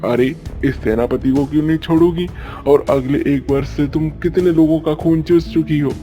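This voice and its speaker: A man's voice: fairly high pitch, fairly even intonation, normal speed, warm tone, faint music behind, natural voice. A man voicing a commanding king in a cartoon folk tale, speaking in a formal, questioning, dramatic way. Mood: demanding, questioning. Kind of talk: cartoon story dialogue.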